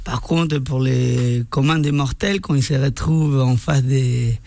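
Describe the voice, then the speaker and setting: A man's voice: mid-range voice, fairly even intonation, measured pace, vocal fry, dark tone, dry recording. A man from Argentina with an Argentine accent, a chef guest on a radio show, searching for his words as he talks. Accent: Argentine Spanish. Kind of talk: radio interview.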